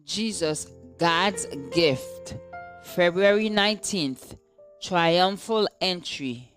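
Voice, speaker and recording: A woman reads a scripted devotional in a measured, deliberate way. Her voice sits a little low and moves very slowly, with faint music playing behind her. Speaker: devotional reader. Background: background music.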